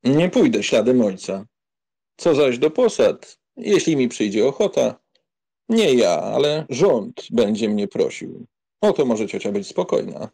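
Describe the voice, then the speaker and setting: An actor playing a proud, hot-tempered young count in a dramatised audiobook, haughty and sardonic. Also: male voice, mid-range voice, very animated, unhurried, vocal fry, warm tone, husky, exaggerated voice. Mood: proud, defiant.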